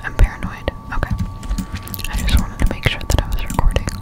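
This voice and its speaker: A woman whispering casual ASMR game commentary close to the microphone while chewing gum. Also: fairly quick. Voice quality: unvoiced whisper. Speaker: streamer playing a video game. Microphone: close-up desktop studio microphone.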